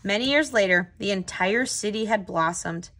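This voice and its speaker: A woman's voice: medium pitch, moderate pace, a bit bright. Woman reading a children's storybook aloud. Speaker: tutor hosting a children's read-aloud.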